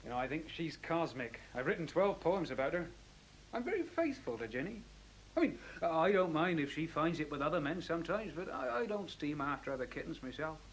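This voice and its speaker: Boozy poet, high animated voice. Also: male voice, brisk, slight background noise, low-quality recording. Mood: plaintive, puzzled. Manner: rambling, tipsy, informal, chuckling. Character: boozy, informal male poet, childishly plaintive. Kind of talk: radio play acting.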